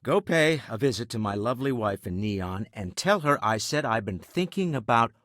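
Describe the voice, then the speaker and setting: An older man playing a wealthy, pragmatic businessman in a video game, speaking in an articulate, wry, measured way. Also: mid-range voice, expressive, fairly bright tone, dry recording, clean studio sound, close to an everyday voice. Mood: composed, urbane. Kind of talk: video game character dialogue.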